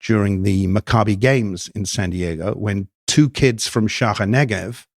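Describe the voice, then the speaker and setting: An older man from the United Kingdom with an English accent, a podcast guest talking in a thoughtful, measured way with many ums and restarts. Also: on the low side, no echo, clean studio sound. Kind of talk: podcast interview.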